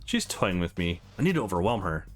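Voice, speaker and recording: Man acting a wry, animated game hero. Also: sits low, a little fast, faint music behind, voice pushed down. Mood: frustrated. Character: wisecracking male hero, outmatched and exasperated in a fight.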